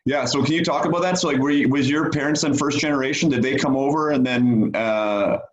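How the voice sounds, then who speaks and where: A male podcast host interviewing a guest in a casual, halting way, with many fillers and restarts. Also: mid-range voice, reverberant, highs cut off.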